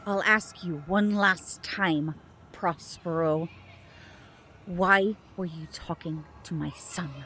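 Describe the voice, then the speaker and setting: Slow, stern protective mother played by a woman. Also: on the low side, fairly even intonation, sharp tone, slight background noise. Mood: stern, suspicious. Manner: stern, sarcastic, deliberate. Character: fiercely protective, suspicious mother and witch. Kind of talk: audio drama acting.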